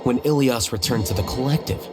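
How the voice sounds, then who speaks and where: An actor playing a calm, analytical male scholar in a video game, explaining things in a composed and formal manner. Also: mid-range voice, brisk, over music, lightly acted. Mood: coolly explanatory. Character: calm, analytical male scholar, coolly outwitting his foe. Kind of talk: dramatic video game acting.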